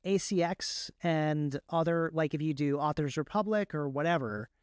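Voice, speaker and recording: A man's voice: on the high side, moderate pace, smooth, no echo, clean studio sound. A man who works as an audiobook narrator, chatting casually and technically as a podcast guest in a rambling, enthusiastic way.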